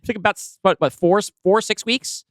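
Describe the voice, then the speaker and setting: A man's voice: high voice, brisk, no echo, clean studio sound. A man co-hosting a podcast in casual back-and-forth chat. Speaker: podcast co-host.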